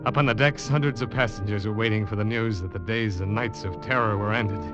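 A man's voice: on the low side, a little fast, music in the background, lightly acted. An actor playing a weary, humbled ship's man narrating his ordeal in an earnest 1940s radio drama style. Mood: solemn, tender. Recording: dull 1940s radio recording under loud orchestra.

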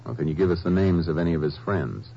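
A steady, unhurried lawman questions suspects in a very low, mellow voice. The old radio broadcast is muffled with faint hiss. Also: gravelly. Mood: calm questioning. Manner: calm, dry, methodical questioning. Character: steady, calm male Texas Ranger investigator. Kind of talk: 1950s radio drama acting.

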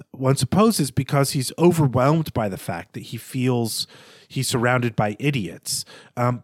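A man co-hosting a book podcast, analysing fiction in a thoughtful, measured way as he searches for his words. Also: mid-range voice, no room sound, clean studio sound. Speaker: podcast co-host. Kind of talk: literary analysis in conversation.

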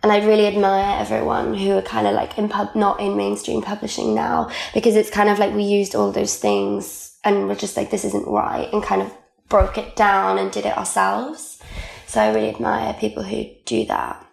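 Welsh woman, young adult, native Welsh speaker; casual guest chat, gravelly tone, echoey room. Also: medium pitch, moderate pace. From Wales. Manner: chatty, lots of "like" fillers. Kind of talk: casual conversational interview.

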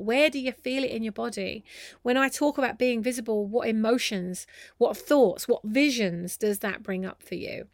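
A woman host giving a motivational coaching talk to viewers, speaking emphatically and deliberately, often building lists of repeated phrases. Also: on the high side, no echo, studio quality.

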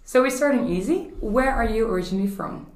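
A woman's voice: a little high, lively intonation, fast talker, echoey room. A woman from the Netherlands hosting a podcast interview over a video call. She talks in a friendly way and laughs at her own jokes. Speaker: podcast host.

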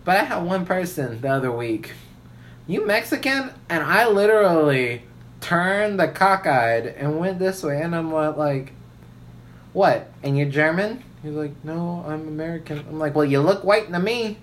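Very deep-voiced, animated, opinionated woman. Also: unhurried, a little hiss or hum. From Puerto Rican family background. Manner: opinionated, emphatic, freely swearing. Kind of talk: casual banter.

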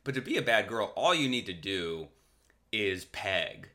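American male podcast host, bright punchy tone, sardonic and rambling, casual comic delivery. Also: lowish voice, very animated, a little slow. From Massachusetts, United States. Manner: rambling, irreverent, crude, sardonic. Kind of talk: casual comedic monologue.

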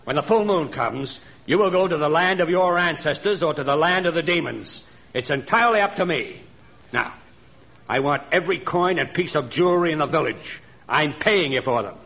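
An actor playing a sly, smug swindling stage magician and villain in an old radio adventure, theatrical, oily and taunting. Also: male voice, fairly high pitch, animated, normal speed, vocal fry, fairly bright tone. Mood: threatening, commanding. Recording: dull old radio recording.

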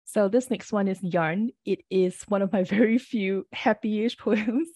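Woman poet, warm dry close voice, measured unhurried reading, a bit early-morning rough. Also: medium pitch, dry recording. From Singapore. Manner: measured, deliberate reading with brief asides. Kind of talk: poetry reading.

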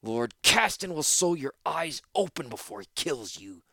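A man's voice: medium pitch, very animated, fast, fairly bright tone, no room sound, clean studio sound, pitched above the performer's own voice. A man voicing a furious young male fighter who snarls threats. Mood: snarling.

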